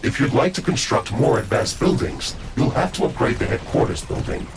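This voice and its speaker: An actor playing a stern, by-the-book AI mech suit in a video game, talking in a formal, measured, procedural manner. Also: male voice, medium pitch, lively intonation, creaky, very noisy. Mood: dutiful briefing. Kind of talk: scripted video game voice acting.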